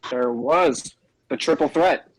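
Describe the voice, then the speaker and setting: Male co-host for a casual wrestling podcast. Medium pitch, explanatory, quick to interject and clarify. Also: low-quality recording.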